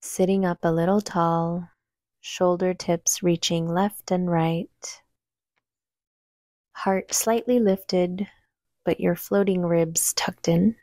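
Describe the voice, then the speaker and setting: Slow, mellow woman guiding meditation. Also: on the low side, no room sound, clean studio sound. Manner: calm, soothing, unhurried instruction. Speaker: meditation guide. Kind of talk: guided meditation.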